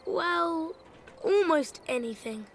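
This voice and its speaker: An actress playing an eager, bored youngster in a video game, pleading to be allowed to help. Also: female voice, very high-pitched, lively intonation, takes it slowly, slight background noise, exaggerated voice. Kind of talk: video game character dialogue.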